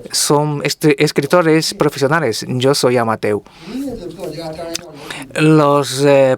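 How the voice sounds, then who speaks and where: A man interpreting for a guest author at a literary talk, careful and halting, often restarting his words. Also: fairly high pitch.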